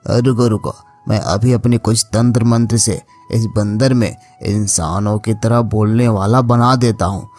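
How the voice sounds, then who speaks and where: A man's voice: fairly low pitch, steady tone, measured pace, hardly any pauses, darkish tone, soft background music, voice pushed down. A man voicing a solemn, wise wandering holy man with magic powers in a cartoon story. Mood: solemn, approving. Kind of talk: Hindi cartoon moral story acting.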